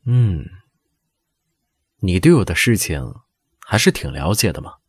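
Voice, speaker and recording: An actor playing a calm, calculating older prince in an audio drama, composed and understated in manner. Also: male voice, low voice, a little slow, slightly rough, dry recording, studio quality, full character voice. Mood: cool, amused.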